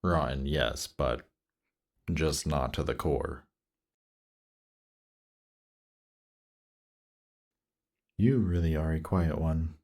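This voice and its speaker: A man playing a seductive demon prince in an intimate roleplay audio drama, teasing and coaxing in an unhurried way. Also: extremely low pitch, darkish tone. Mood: smooth, musing.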